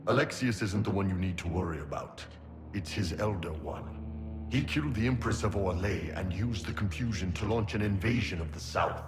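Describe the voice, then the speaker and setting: An actor playing a grim, sardonic warrior dying of poisoning in a video game, gruff, blunt and darkly wry. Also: male voice, deep voice, animated, moderate pace. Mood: bitter, wry. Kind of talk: video game cutscene acting.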